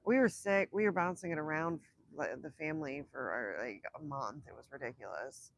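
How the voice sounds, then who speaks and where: A young woman with a raspy voice from illness, chatting casually on a livestream, rambling and laughing between thoughts. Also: low voice, normal speed. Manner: rambling, self-interrupting, laughs often. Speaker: livestream host. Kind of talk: casual live chat with viewers.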